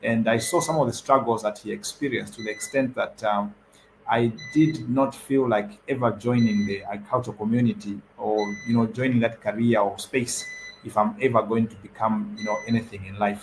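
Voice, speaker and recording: Young man, mid-pitched, gravelly, hesitant panel guest with many fillers. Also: slight background noise, poor audio. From Zambia. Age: young adult. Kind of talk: panel discussion answer.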